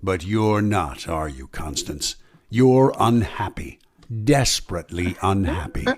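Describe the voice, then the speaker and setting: A man's voice: sits low, lively intonation, a little slow. A man playing a sardonic, knowing narrator in an old-time radio melodrama, insinuating and mocking.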